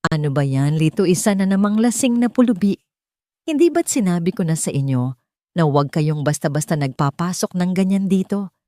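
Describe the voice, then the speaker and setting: A woman's voice: lowish voice, expressive, a little fast, mellow, dry recording, studio quality, close to an everyday voice. A woman voicing a scornful, bossy hospital supervisor. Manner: scornful, commanding. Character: contemptuous female hospital supervisor, cold and harsh. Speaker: nurse supervisor.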